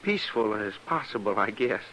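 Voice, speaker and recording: Meek, stammering old-west cowboy. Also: male voice, fairly low pitch, expressive, fairly quick, bright, forceful tone. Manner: hesitant, stammering, meek, folksy. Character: timid, apologetic, hard-up former cowboy turned constable. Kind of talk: old-time western radio drama acting. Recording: noisy old-time radio recording.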